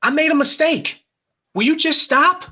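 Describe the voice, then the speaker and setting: A man's voice: very high voice, moderate pace, pushed and bright, heavily acted. A man playing a desperate, insistent young schemer in a screenplay table read, pleading with feeling. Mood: pleading, urgent.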